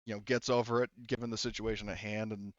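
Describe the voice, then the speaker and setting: A man narrates a tabletop game in a clean, smooth voice at an ordinary pitch. He is the game master, talking casually and haltingly with loose, sweary phrasing. The recording is dry and slightly dull. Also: little pitch movement, no echo. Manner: halting, informal, swears freely.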